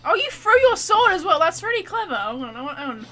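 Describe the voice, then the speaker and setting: A woman gives casual gameplay commentary in a bright, high voice. She is excitable and blunt, her pitch jumping around as she reacts, with faint music behind her. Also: fast. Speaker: game streamer.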